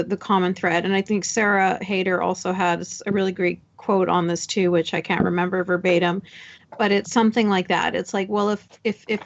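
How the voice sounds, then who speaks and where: A woman from Canada, talking casually on a panel and arguing her point informally. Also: medium pitch. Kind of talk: casual conversational commentary. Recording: dull, lo-fi sound.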